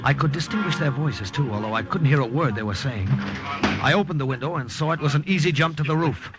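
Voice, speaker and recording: A man's voice: a little high, a little fast, close to an everyday voice. A man acting a resolute, protective wartime saboteur in an old-time radio drama, speaking and narrating with dramatic urgency. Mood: tense narrating. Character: resolute, protective male wartime saboteur telling his story. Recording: old radio recording with music behind.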